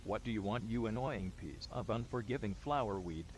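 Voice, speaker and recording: A man's voice: lowish voice, moderate pace, a little mellow, lightly acted. A man voicing an irritated, insulting cartoon announcer character, on a very noisy recording. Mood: annoyed, defiant. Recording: very noisy, faint audio.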